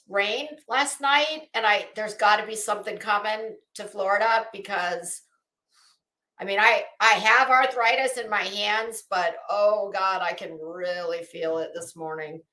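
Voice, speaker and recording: A middle-aged woman hosting a casual livestream, chatting to viewers in a rambling, expressive way with self-deprecating asides. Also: mid-range voice. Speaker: livestream host. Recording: roomy, echoey indoor sound.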